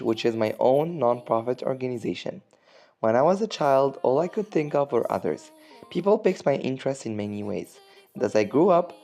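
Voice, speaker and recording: Earnest Moroccan teen boy, mellow voice. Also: mid-range voice. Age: teens. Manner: earnest, formal, carefully recited. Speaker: scholarship applicant. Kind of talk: scripted application speech.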